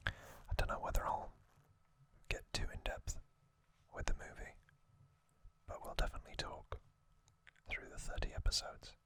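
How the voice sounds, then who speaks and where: A man whispering softly in a breathy, deliberate ASMR narration, speaking in short phrases with pauses. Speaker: host of an ASMR channel. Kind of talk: whispered ASMR trivia narration and reading aloud.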